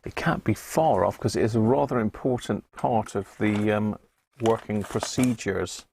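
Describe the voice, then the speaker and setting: Gravelly-voiced Englishman chatting casually. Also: lowish voice, a little slow, darkish tone, slight background noise. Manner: rambling, chatty, thinking aloud. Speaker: host of a hobby electronics live stream. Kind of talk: casual live-stream chat while working at a bench.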